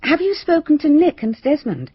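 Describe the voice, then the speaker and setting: A woman's voice: sits high, very animated, normal speed, no room sound. An actress playing a respectable, opinionated and guarded village lady in a radio mystery, with a genteel, pointed manner. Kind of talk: radio mystery drama acting. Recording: dull, lo-fi radio drama recording.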